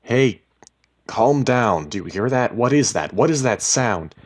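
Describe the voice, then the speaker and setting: Man voicing a defensive, bewildered husband, raised pitch. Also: animated, moderate pace, lightly acted. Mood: urgent, wary. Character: bewildered, defensive husband who hears voices. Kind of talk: dramatic story reading.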